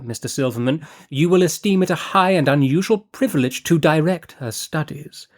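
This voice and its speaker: A man's voice: a little high, voice pushed up, close to an everyday voice. A man reading an audiobook voices a gushing, flighty aristocratic lady, a scheming mother, with a theatrical manner.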